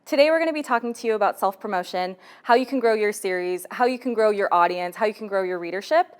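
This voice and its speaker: Casting: female interview host, smooth clear voice, mid pitch, conversational. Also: fast talker. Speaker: host, community manager interviewing creators.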